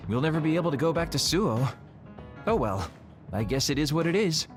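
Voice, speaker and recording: A man's voice: on the high side, very animated, brisk. A man playing a sly, flippant young spy-assassin in an English game dub, glib and teasing. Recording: music behind the voice.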